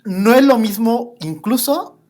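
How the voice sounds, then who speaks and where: Enthusiastic podcast host. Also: male voice, very high-pitched, lively intonation, slow, a little mellow. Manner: enthusiastic, explanatory. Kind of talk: casual interview chat.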